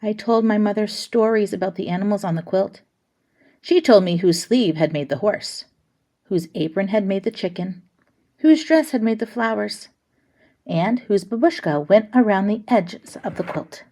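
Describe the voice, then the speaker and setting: A woman reading a children's picture book aloud with expressive, deliberate phrasing. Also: mid-range voice. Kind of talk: storybook read-aloud.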